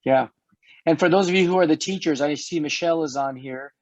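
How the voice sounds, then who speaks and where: A middle-aged man hosting an online health talk, chatty and hoarse, often restarting his words and laughing. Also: a little high, fairly quick, dry recording. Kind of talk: casual panel chat. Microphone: video call. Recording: dull dry video-call audio.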